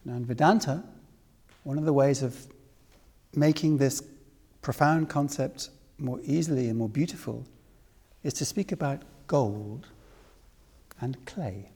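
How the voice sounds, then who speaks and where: A man from Wales giving a spiritual discourse to a live audience as the teacher, speaking deliberately with repeated phrases and rhetorical questions. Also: medium pitch, warm tone, slightly rough. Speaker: spiritual teacher leading a talk. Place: reverberant room with an audience. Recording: long hall reverb.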